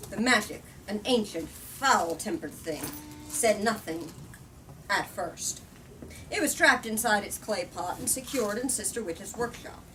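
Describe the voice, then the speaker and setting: A woman reading a storybook aloud in an expressive, deliberate way, as the host of a book discussion. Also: mid-range voice. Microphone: far from the speaker. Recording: distant mic, noisy room.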